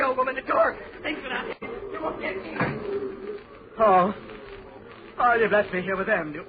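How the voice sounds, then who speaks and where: An actor playing a frightened man cracking into hysteria in an old radio horror play, agitated and melodramatic, repeating himself in panic. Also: very high-pitched. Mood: panicked. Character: frightened, frantic man trapped among ghosts, cracking into hysteria. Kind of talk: 1940s radio horror acting. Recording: muffled, noisy old radio recording.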